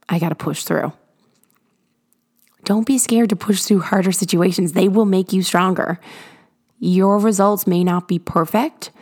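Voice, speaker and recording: Woman, podcast host, hoarse from a cold, raspy and gravelly, lowish voice, earnest pep talk. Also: darkish tone. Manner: earnest, encouraging, drawn-out emphasis. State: hoarse from illness. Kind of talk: motivational podcast monologue. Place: garage.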